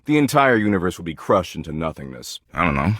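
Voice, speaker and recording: Existential dread itself talks like a too-cool slacker in this video game. The voice sits low yet swings widely in pitch, dripping with smug, sardonic dismissal. It is recorded close and dry, every slangy put-down right up front. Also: male voice, big pitch swings, fast talker, a bit bright, no echo, full character voice. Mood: gleefully morbid. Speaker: voice actor in a dating simulator game. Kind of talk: video game character dialogue.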